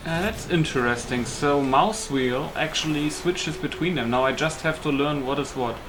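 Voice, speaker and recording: A man's voice: on the high side, normal speed, low-quality recording. A man giving casual let's play commentary as a gaming host, thinking aloud in a hesitant way. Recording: loud music behind the voice. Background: music.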